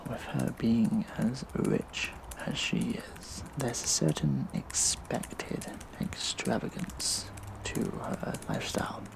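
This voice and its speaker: Guy doing a slow whispery gravelly hacker villain, smug n sinister, audio drama, noisy recording w typing. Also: mid-range voice. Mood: mocking. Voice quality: breathy, whispery, gravelly creak. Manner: hushed, sinister, drawling, mock-casual. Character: vengeful gleeful hacktivist vigilante man. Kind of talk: audio drama monologue, addressed to viewers.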